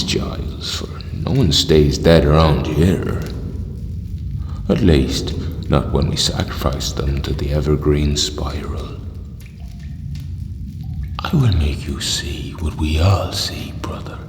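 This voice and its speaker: A man acting in an audio drama as a calm, zealous cult leader, speaking solemnly and grandly with hushed menace. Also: a bass voice, full character voice. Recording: echoey hall with long reverb.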